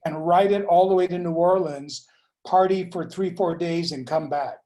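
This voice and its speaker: A man from California chatting casually at a meeting, telling a story with laughs and halting fillers. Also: high-pitched, dark tone, rough recording. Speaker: meeting participant. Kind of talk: casual chat.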